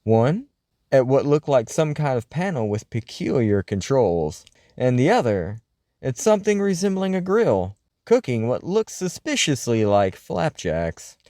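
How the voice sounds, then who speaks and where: A man's voice: mid-range voice, sing-song, a little slow, warm tone, no echo, clean studio sound. A man playing a flamboyant late-night paranormal radio host, telling stories in a grandiose, wordy showman style. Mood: dramatic storytelling. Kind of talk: scripted comedic radio monologue and storytelling.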